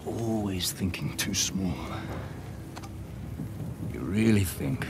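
A man's voice: deep voice, takes it slowly, heavy background noise. A man playing a smug, mocking middle-aged mercenary villain in a video game. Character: smug, taunting battered male mercenary villain. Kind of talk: cinematic video game acting.

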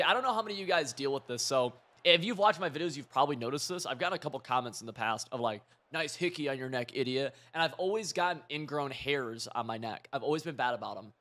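A hoarse young man giving rambling, excitable live commentary over a football video game, mixing coaching tips with side stories. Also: a little high. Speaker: gaming video creator.

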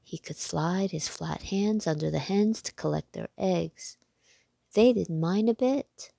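A woman narrating a children's storybook aloud in a measured, clearly phrased way. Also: lowish voice, warm tone, no room sound, clean studio sound.

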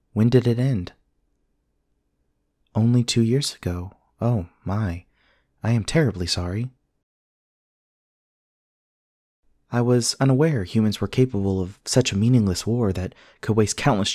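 A man playing a polite, earnest companion robot in an ASMR roleplay, speaking in formal, measured, precise sentences. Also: on the low side, dark tone, no echo, clean studio sound, close to an everyday voice. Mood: polite, thoughtful. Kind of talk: scripted ASMR roleplay acting.

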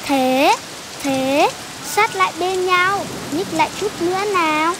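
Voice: very high-pitched, slow, noisy background. An actor voicing a kind, eager young animal in a children's cartoon, coaxing and exclaiming in a childlike way. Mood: urging. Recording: music bed, rain sounds behind. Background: music and rain.

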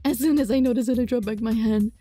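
A woman playing a visual novel, reading it aloud dramatically and reacting casually in an animated, playfully exasperated way, sometimes stumbling over words. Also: fairly high pitch, quick pace, soft background music.

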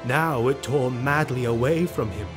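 Man narrating dark fantasy over music. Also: medium pitch, measured pace. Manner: grave, dramatic storytelling. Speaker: audio drama narrator. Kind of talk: scripted dramatic fantasy narration. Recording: music behind the voice. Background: dramatic music.

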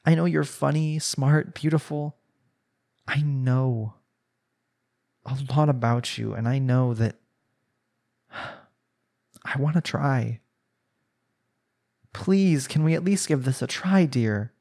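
A man acts out a young husband in a romantic audio drama, with a mid-pitched voice. He is devoted and possessive, and speaks intimately and imploringly to the spouse he adores. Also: takes it slowly, creaky, pauses often, a little mellow. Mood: earnest, yearning. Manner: earnest, pleading, sighing delivery. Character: jealous, lovestruck young husband pleading with his spouse. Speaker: audio roleplay voice actor. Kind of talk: intimate romantic audio roleplay, one-sided dialogue.

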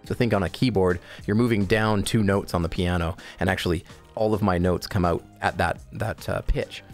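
Low-voiced man giving a casual tutorial. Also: fairly even intonation, moderate pace, faint music behind. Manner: explanatory, informal. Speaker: product tutorial presenter. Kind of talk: casual software tutorial narration.

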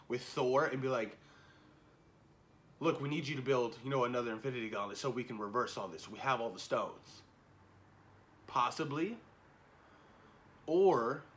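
A man in his thirties chatting casually and excitedly to camera, slangy and repeating himself for emphasis, as a reaction video host. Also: mid-range voice. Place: small indoor room at home. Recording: noticeable background noise, home camera recording.

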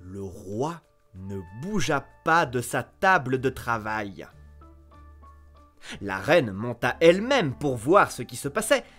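A man reading a fairy tale aloud as a storyteller, with expressive narration that lifts sharply on key words. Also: mid-range voice, slow pace, faint music behind.

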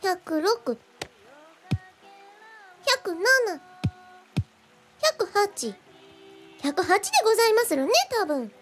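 Very high-pitched, quaintly formal little genius girl. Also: sing-song, extremely slow, long pauses, a bit bright, faint music behind, full character voice. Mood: inquisitive. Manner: archaic, ceremoniously polite, inquisitive. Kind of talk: radio drama acting.

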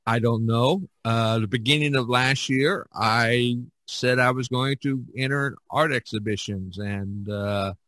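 A man hosting a casual podcast discussion, thinking aloud in a halting way and repeating words. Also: medium pitch, fairly even intonation, fairly bright tone, dry recording. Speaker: podcast host and artist.

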